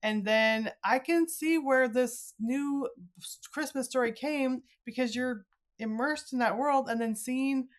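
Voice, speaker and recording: A woman's voice: sits high, measured pace. A middle-aged woman hosting a podcast, talking casually with her guest in a conversational interview style. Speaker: podcast host.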